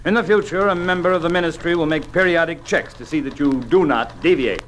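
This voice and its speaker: A man acting a cold, officious government official in an old radio play. He speaks quickly in formal, stilted, precise phrases. The old recording has noise behind the voice. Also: fairly high pitch, a bit bright, close to an everyday voice. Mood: cold, commanding. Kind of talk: mid-century radio drama acting.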